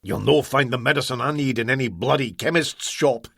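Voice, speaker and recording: A man voicing a rough-spoken Scottish man, desperate and strained, in an audiobook story. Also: mid-range voice, a little fast, fairly bright tone, no echo, clean studio sound.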